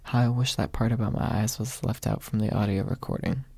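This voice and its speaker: A man performs a brash young man from a fantasy storybook, sarcastic in temper. The voice is husky and creaky, delivered softly in an ASMR style. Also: on the low side, steady tone, fairly quick, no echo. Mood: exasperated. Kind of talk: soft ASMR character reading.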